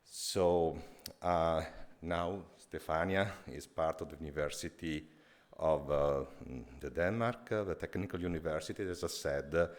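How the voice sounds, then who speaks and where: A man with an extremely deep voice introduces the keynote speaker at a conference. He stops and restarts often and fills the gaps with many "uh"s. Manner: halting, many fillers and restarts. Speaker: conference chair.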